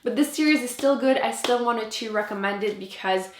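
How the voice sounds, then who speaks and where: A woman's voice: on the high side, brisk, roomy sound, background noise. A French Canadian woman, a native French speaker, chatting casually and emphatically to camera as a book reviewer.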